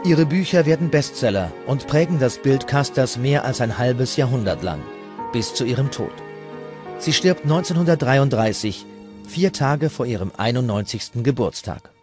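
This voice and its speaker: A man narrates a documentary from a script. His voice sits at an ordinary male pitch, with music playing clearly behind it. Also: lively intonation, normal speed.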